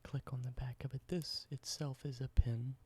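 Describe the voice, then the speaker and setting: A young man doing a soft, halting ASMR whisper, slowly explaining everyday objects in simple words. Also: mid-range voice, steady tone, slightly rough. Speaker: ASMR creator.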